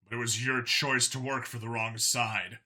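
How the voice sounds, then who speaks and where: A man playing a cold, menacing human cop in an audio story, speaking in a controlled, measured way. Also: mid-range voice, level tone, bright, forceful tone. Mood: stern. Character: cold, menacing human vigilante cop holding a gunman's calm.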